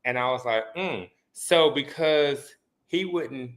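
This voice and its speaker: A young man from the Midwest in a casual chat, gossiping about reality shows. His voice sits at a middle pitch. He keeps acting out what other people said, changing his tone for each one. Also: slow pace. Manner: gossipy, animated, acts out quoted voices. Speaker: guest.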